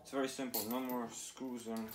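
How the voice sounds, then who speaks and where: A man's voice: mid-range voice, level tone, moderate pace, heavy background noise. A man in his thirties casually explaining each step of a home DIY setup in plain, matter-of-fact fragments, recorded in a garage workshop on a camera mic. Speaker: DIY how-to host.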